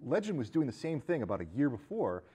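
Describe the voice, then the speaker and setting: A man co-hosting a casual film discussion, talking in a halting, rambling way. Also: mid-range voice, very animated, warm tone, slightly rough. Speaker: podcast co-host.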